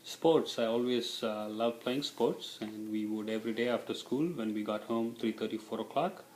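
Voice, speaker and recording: A man's voice: fairly low pitch, moderate pace, a little mellow, reverberant, slight background noise, rough recording. A middle-aged man from India, a native Tamil speaker with an Indian accent, answering interview questions in a casual, reflective way.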